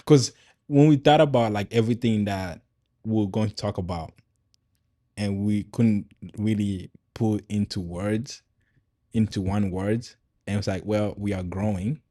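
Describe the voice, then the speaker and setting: Low-voiced, mellow man chatting casually. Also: steady tone, a little slow, dry recording, studio quality. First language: Swahili. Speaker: podcast host. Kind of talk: casual conversational podcast chat.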